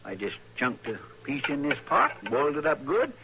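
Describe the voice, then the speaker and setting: An actor playing a loyal, stubborn frontier deputy with a rural Western American accent and folksy, ungrammatical talk, in an old-time radio western. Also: male voice, fairly high pitch, sing-song, moderate pace. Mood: encouraging. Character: loyal, stubborn frontier deputy, plain-spoken country man. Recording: noisy vintage radio drama recording.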